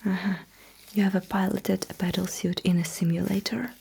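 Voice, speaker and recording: A woman performing a sci-fi ASMR roleplay in a breathy whisper, as a courteous, dryly witty alien mecha technician with a measured manner. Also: medium pitch, flat delivery, mellow, slight background noise.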